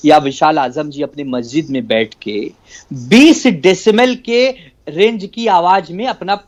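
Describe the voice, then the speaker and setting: A man's voice: on the high side, unhurried. A male party spokesman debating as a remote guest, insistent and exasperated, repeating phrases over a lo-fi link. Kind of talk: heated political debate.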